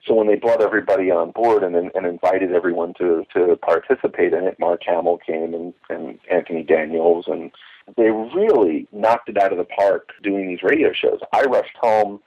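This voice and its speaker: A deep-voiced man comes through a muffled, phone-like line with slightly husky, unclear tone. He is an eager interview guest, talking emphatically and drifting into asides. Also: fairly even intonation, normal speed. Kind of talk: enthusiastic conversational recollection.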